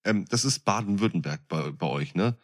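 A man's voice: sits low, animated, normal speed. A man co-hosting a casual podcast, speaking bluntly and often repeating words as he gets going. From northern Germany. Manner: blunt, opinionated, stumbling repetitions. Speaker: podcast co-host.

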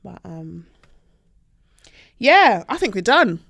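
A woman's voice: medium pitch, sing-song, slow, creaky, fairly bright tone, breathy edge, no echo. Woman podcast host, nasal and congested, chatty, dry close mic. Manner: giggly, exclaims in disbelief. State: congested from a cold. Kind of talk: casual chat.

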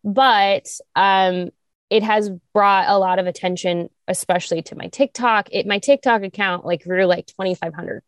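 A young woman co-hosting a talk show, speaking candidly with vocal fry, lots of fillers, and sharp jumps up in pitch when she makes a point. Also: moderate pace, dry recording. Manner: animated, restarts and fillers, rises high when emphatic. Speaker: podcast co-host. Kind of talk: candid conversational chat.

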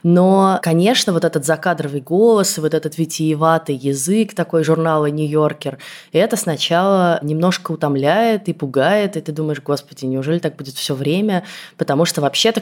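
A woman's voice: sits low, brisk, darkish tone. A woman in her thirties co-hosting a conversational discussion, explaining her thoughts reflectively in long, winding sentences. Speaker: podcast co-host.

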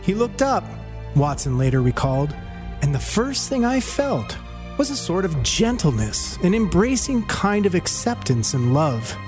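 Animated American man narrating over music. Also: high voice, measured pace. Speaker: story narrator. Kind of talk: scripted documentary narration.

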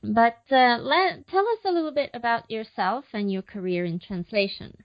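A woman's voice: a little high, normal speed, dull audio. A woman hosting a podcast, interviewing a guest in a friendly, chatty way. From United States. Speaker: podcast host.

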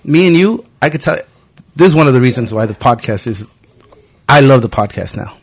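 A man in his early thirties hosts a loose, casual podcast and talks with a friend. He swears freely and keeps bringing his stories to life by acting out what other people said. His voice sits at an ordinary pitch for a man. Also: brisk, husky. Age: 30s. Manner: animated storytelling, acting out quoted friends. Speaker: podcast host.

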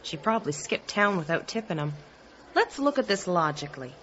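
Wry, animated skeptic. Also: female voice, fairly low pitch, a little fast. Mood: skeptical. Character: skeptical, logical, wry woman friend. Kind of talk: audio drama acting. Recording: dull lo-fi audio.

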